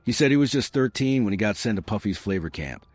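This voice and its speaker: A man's voice: lowish voice, expressive, quick pace, darkish tone, dry recording. A man reading a scripted gossip documentary narration as a voice-over. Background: music.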